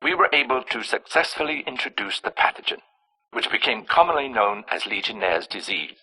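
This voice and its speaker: Game villain: cold male scientist, lowish raspy voice, clinical, self-important, scripted narration. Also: sharp tone, exaggerated voice, poor audio. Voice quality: raspy, hard-edged. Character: cold, arrogant male scientist engineering plagues and alien pathogens.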